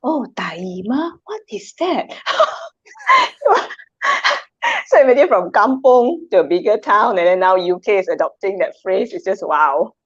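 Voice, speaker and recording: A woman's voice: medium pitch, very animated, a little slow, breathy edge, dry recording. Chatty Malaysian woman, lo-fi audio. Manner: chatty, laughs often, rhetorical questions. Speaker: guest. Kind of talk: casual chat. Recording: dull lo-fi sound.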